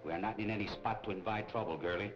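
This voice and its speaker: Low-voiced, bossy nightclub racketeer played by an actor. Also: male voice, steady tone, fast talker, natural voice. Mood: menacing. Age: middle-aged. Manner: curt, threatening, slangy. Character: hard, bossy middle-aged nightclub racketeer. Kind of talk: 1940s crime film acting. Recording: dull 1940 film soundtrack, music behind.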